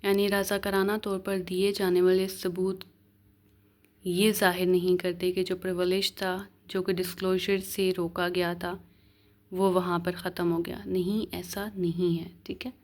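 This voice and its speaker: A woman's voice: fairly low pitch, level tone, a little slow. A woman with a Pakistani accent giving an explanatory law lecture, mixing in English phrases and often checking that listeners follow. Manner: mixes English legal phrases into speech, frequent check-ins. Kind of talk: explanatory lecture, reading legal text aloud and explaining it.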